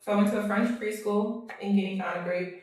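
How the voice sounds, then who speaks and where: A woman's voice: medium pitch, steady tone, a little fast, darkish tone. Chatty woman in an echoey room. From Cameroon. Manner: chatty, filler-heavy storytelling. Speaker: guest. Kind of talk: casual interview. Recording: roomy, distant mic.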